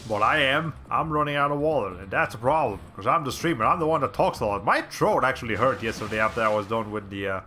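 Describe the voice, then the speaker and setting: A male game streamer talks casually and at length while he plays, often restarting his sentences. His voice is raspy, bright and tense. Soft game music sits quietly underneath. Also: mid-range voice. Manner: rambling, chatty, frequent restarts. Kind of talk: casual gameplay commentary.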